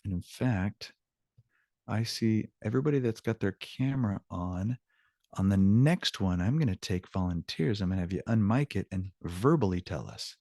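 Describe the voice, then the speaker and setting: Male online language teacher, lowish voice, dry close sound, informal and encouraging, bilingual delivery. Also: expressive, normal speed, warm tone, dry recording. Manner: informal, encouraging, switches between English and Spanish. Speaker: Spanish teacher. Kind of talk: online language lesson, explaining examples. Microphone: video call.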